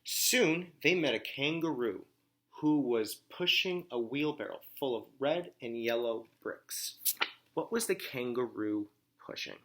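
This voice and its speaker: A man reading a story aloud as a teacher, phrasing it deliberately and simply for children and pausing to ask comprehension questions. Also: mid-range voice, lively intonation, fairly bright tone.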